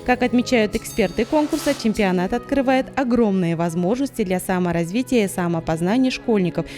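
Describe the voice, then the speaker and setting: A woman's voice: medium pitch, expressive, fairly quick. A woman reading a scripted news report as a reporter. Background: music bed.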